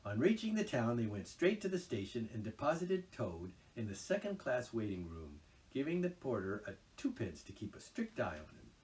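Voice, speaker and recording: A man reading a storybook aloud in an expressive, theatrical way. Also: mid-range voice, normal speed, few pauses, distant mic.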